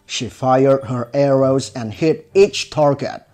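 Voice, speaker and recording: A man narrating a scripted children's fairy tale in a measured, expressive storybook style. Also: mid-range voice. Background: music.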